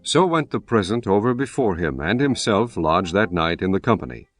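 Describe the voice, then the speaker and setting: Low-voiced guy reading old scripture, solemn, lively delivery, music behind. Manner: solemn, measured. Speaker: narrator.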